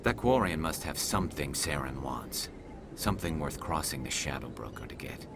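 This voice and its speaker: Low-voiced earnest alien investigator. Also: male voice, expressive, moderate pace, noisy background. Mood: intent, resolute. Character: earnest, determined male alien police investigator. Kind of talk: video game voice acting.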